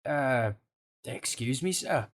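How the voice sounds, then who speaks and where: An actor playing an evasive, polite soldier in an audio play, deferential and affectedly well-spoken. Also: male voice, mid-range voice, lively intonation, slow pace. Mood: guarded, polite. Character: evasive, polite male soldier suspected of murder.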